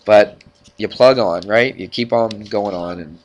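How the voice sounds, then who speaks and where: A man's voice: lowish voice, measured pace, darkish tone. A man with a stuffed-up nose teaching math to a class, talking informally and checking in with frequent questions. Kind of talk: classroom worked-problem lesson.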